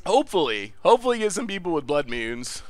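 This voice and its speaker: A man streaming and commenting on a card game in a casual, excitable way, laughing often, his voice a little congested. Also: on the high side, fast talker, dry recording, faint music behind.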